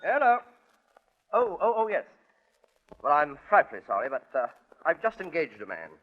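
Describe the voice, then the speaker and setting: Lively, posh British gentleman, vintage radio. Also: fairly high pitch, normal speed. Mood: fussy, apologetic. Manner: posh old-fashioned clubman talk, fussily polite, hesitant. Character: eccentric, chatty, homesick English gentleman, depressed with Americans. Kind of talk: 1940s radio acting. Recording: muffled vintage 1940s radio recording.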